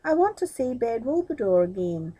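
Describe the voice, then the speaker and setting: A woman's voice: a little high, big pitch swings, moderate pace, mellow. A woman voicing an earnest, eager, anxious young man in love in a storybook tale. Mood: pleading.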